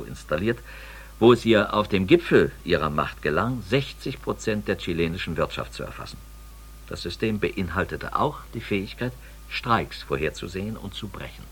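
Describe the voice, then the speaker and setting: Ein Sprecher gibt in einem Hörspiel den sachlichen, bedächtigen Erzähler mit dokumentarischem, ernstem Ton. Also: male voice, low voice, full character voice. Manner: sober, deliberate, factual. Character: male documentary narrator, sober, measured and grave. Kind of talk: scripted radio drama narration.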